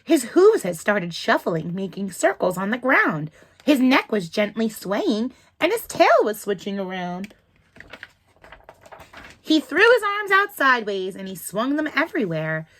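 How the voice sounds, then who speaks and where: A woman reading a picture book aloud to children, in a slow, animated and expressive way. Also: medium pitch. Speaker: children's storytime reader.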